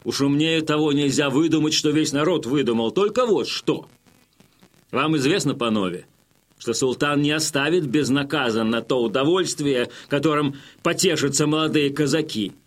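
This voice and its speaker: An actor playing a wily Cossack chieftain who addresses his warriors with measured, folksy, feigned humility in an audio drama. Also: on the high side, natural voice. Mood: deferential, persuasive. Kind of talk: theatrical audio drama oratory.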